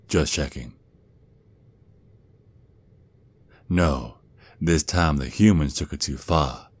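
An extremely deep, close and dry voice: a protective Southern werewolf father acted by a man in an ASMR roleplay. He has a folksy drawl and the gruff bearing of an army sergeant who dotes on his child. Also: no room sound. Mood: cold, businesslike. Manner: doting, folksy, slow drawl with pet names.

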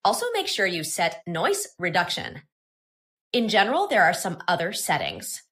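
A woman presenting a software tutorial in scripted, instructional, step-by-step narration. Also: lowish voice, wildly swooping pitch, moderate pace.

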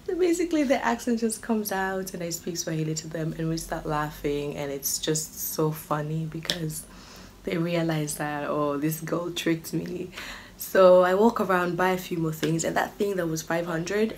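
A young woman with a slightly low voice talks casually to the camera as a vlogger. She is animated and tells her stories in a chatty way. The indoor home recording carries clear background noise. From Kenya. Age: 20s. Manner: animated, acts out other people's remarks. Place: small furnished living room or bedroom, indoors.

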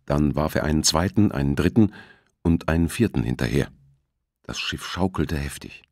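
A man with a low-pitched voice reads a scripted thriller audiobook. His delivery is measured and dramatic, in a dry, close sound. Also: animated, darkish tone, no room sound. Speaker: audiobook narrator.

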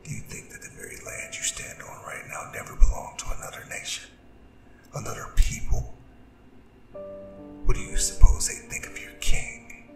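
A man playing a proud, vengeful and seductive demon king in an ASMR audio drama, speaking in a breathy, gravelly half-whisper with slow, grandiose delivery. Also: close to an everyday voice. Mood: proud, persuasive. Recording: roomy reverb, distant, music behind. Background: music.

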